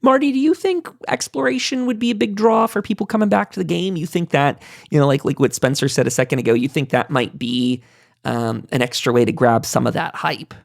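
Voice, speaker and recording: Rambling male podcast host, slightly creaky, dry sound. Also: fairly high pitch, brisk, no room sound. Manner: rambling, self-interrupting, hedging aloud. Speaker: podcast host moderating a roundtable. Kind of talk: casual panel discussion about gaming.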